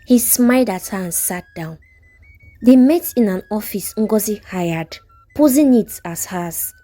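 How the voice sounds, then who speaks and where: Woman, Nigerian accent, scripted folktale narration, lively pitch, soft mellow tone. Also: measured pace, soft background music. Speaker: storyteller.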